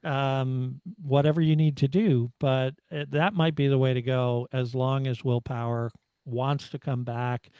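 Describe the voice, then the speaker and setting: A middle-aged American man co-hosting a motorsport podcast, talking through his analysis in a casual, measured way as he thinks aloud. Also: mid-range voice, fairly even intonation, dark tone, clean tone, no echo, lo-fi sound. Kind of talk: casual race analysis chat.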